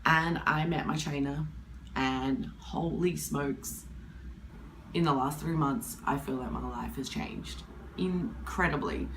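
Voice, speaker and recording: A woman in her twenties with a husky voice from hay fever, talking candidly to camera in a rambling, casual way. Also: deep voice, takes it slowly, background noise. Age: 20s. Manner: candid, rambling, with many fillers. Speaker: vlogger.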